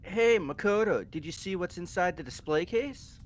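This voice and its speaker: A man's voice: sits high, animated, normal speed, a little hiss or hum. A man voicing a panicky, superstitious young fortune-teller in a video game, dramatic and alarmist. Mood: ominous worry. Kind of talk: amateur visual novel voice acting.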